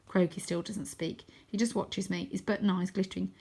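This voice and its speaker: A woman's voice: medium pitch, steady tone, fairly quick, creaky, darkish tone, slightly rough. Woman reading a children's story dramatically. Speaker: storybook reader. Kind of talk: expressive children's audiobook narration.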